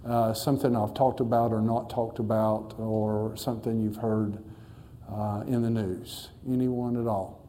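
Casting: middle-aged man, pastor; very dark, mellow tone, folksy, halting delivery, faint hum behind. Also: on the low side, little pitch movement. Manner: folksy, halting with many fillers. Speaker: missionary pastor. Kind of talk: church talk to a congregation. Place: church.

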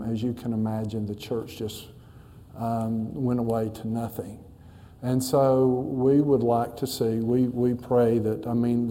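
Dark-voiced missionary pastor. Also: male voice, medium pitch, a little hiss or hum. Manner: folksy, halting with many fillers. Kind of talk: church talk to a congregation. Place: church.

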